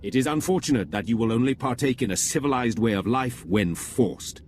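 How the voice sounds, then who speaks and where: A man's voice: medium pitch, a little mellow, slight background noise, natural voice. Male actor as a zealous imperial warlord: mid-range voice, cold, proud, oratorical game-villain delivery. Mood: persuasive, zealous. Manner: haughty, measured, oratorical. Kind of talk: video game villain monologue.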